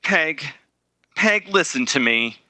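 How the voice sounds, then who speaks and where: A man playing an earnest, guilt-ridden young man in a 1930s-style radio drama, speaking haltingly and hesitantly. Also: fairly high pitch, lively intonation, sharp tone, no echo, full character voice. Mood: pained, pleading.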